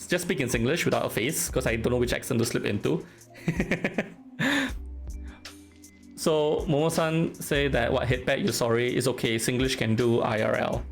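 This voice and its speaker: Singaporean guy streamer, gravelly voice, chatty and teasing, faint music behind. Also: mid-range voice. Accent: Singaporean English. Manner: chatty, teasing, laughs often, reads chat aloud. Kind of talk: casual live-stream chat while drawing.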